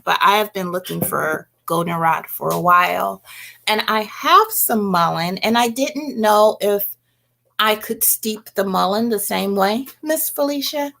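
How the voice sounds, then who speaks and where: Middle-aged woman, creaky, chatty livestream host. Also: medium pitch, unhurried, sharp tone. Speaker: host of a home herbalism livestream. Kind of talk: casual livestream chat, reading and answering comments.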